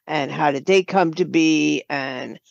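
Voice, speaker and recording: An older woman speaking thoughtfully and haltingly as an interview guest, explaining things in a reflective way. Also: low-pitched, creaky, no echo, lo-fi sound. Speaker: guest, writer and producer.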